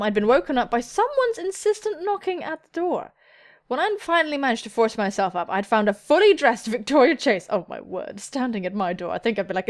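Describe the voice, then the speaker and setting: A woman hosting a let's play, joking bawdily and excitably as she reads visual novel text aloud. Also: a little high. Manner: bawdy, excitable, self-mocking joking. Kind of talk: playful gaming commentary while reading visual novel text aloud. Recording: music playing behind the voice.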